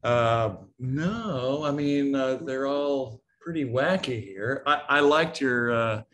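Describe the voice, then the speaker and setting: A man's voice: medium pitch, slow pace, a bit bright. A man volunteering in an online class, talking casually and hesitantly, with a self-deprecating manner. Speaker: workshop volunteer. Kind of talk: casual, thinking aloud. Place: online video call.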